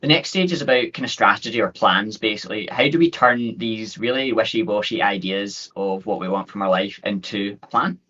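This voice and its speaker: Scottish guy in his 30s talking quick on a video call, lowish voice, muffled audio. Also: bright, forceful tone. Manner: quick, upbeat, many fillers and restarts. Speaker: guest speaker. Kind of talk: webinar presentation.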